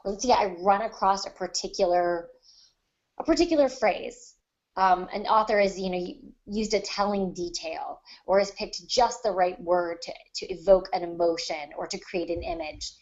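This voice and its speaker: A woman's voice: fairly low pitch, moderate pace, muffled recording. A woman on a podcast panel talking casually and reflectively about her craft, explaining things at length. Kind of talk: casual discussion of writing craft.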